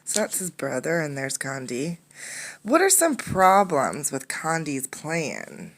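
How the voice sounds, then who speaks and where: Low-voiced woman reading to children. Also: big pitch swings, slow, rough recording. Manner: instructive, poses questions to young listeners. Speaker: teacher reading a picture book aloud. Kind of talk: interactive storybook read-aloud for children.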